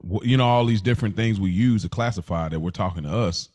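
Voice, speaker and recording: Dude w a real deep voice on a podcast, casual n earnest, super dry sound. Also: male voice, fast talker, a little mellow, no room sound. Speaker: podcast co-host.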